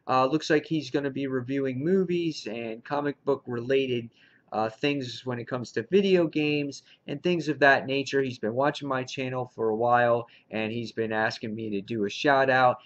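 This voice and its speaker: Dull lo-fi recording, enthusiastic male vlogger. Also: medium pitch. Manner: enthusiastic fan talk. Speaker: comic book reviewer hosting a haul video. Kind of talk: casual vlog chat, showing and commenting on purchases. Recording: dull lo-fi sound.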